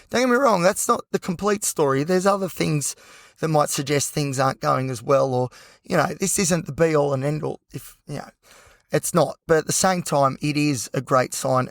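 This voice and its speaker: An Australian man hosting a solo podcast with a hoarse, croaky voice, talking passionately and rambling with lots of fillers. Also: a little high, no echo. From Newcastle, New South Wales, Australia. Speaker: podcast host.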